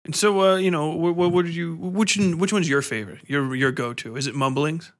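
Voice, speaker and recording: A man hosting a casual podcast interview, speaking informally in halting phrases with repeated words. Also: fairly high pitch.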